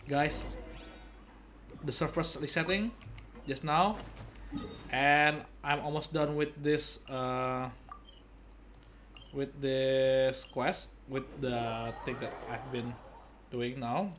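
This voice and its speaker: Halting young man streaming a game. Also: mid-range voice, steady tone, a bit bright. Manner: halting, repetitive phrasing. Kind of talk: casual gameplay commentary. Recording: clear background noise, game ambience.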